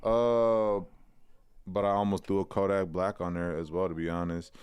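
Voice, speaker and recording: Very deep-voiced laid-back young man. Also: little pitch movement, normal speed, a little mellow, clean tone, no room sound. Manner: profane, laid-back, trails off and restarts. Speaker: podcast co-host. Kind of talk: casual podcast banter.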